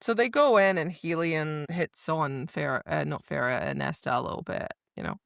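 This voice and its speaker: A woman's voice: sits low, lively intonation, moderate pace, vocal fry, no room sound, studio quality. A woman co-hosting a podcast, casually recapping a book and acting out the quotes as she retells it. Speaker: podcast co-host.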